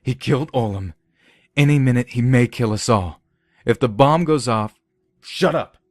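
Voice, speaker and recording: A man's voice: mid-range voice, moderate pace, no echo, clean studio sound, voice pushed up, close to an everyday voice. A man voicing a livid, suspicious, trigger-happy security man in a harsh, insistent way, in a story reading. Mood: furious, alarmed.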